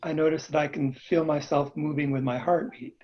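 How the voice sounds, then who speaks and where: A man's voice: a little high, steady tone, brisk. Man with a dull lo-fi call line. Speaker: workshop participant. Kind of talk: casual sharing on a video call. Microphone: video call. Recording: dull lo-fi video call audio.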